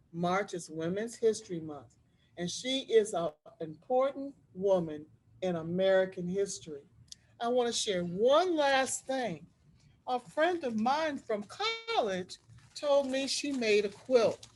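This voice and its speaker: A woman reading a storybook aloud to children, explaining things simply and deliberately like a school librarian. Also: medium pitch, low-quality recording. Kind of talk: read-aloud storytelling with teacherly asides.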